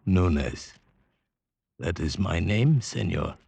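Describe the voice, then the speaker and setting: An actor playing a haunted, ragged mountain guide in an old-time radio drama, speaking in halting, solemn, formal phrases. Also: male voice, low-pitched, expressive, gravelly, mellow, breathy edge, dry recording, exaggerated voice. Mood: haunted, weary.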